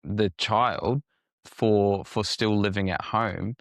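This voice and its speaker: A man's voice: on the low side, steady tone, slow, no echo, clean studio sound. A man co-hosting a podcast, talking through ideas reflectively with frequent restarts. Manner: reflective, thinks aloud with restarts. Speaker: podcast co-host. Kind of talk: casual podcast conversation.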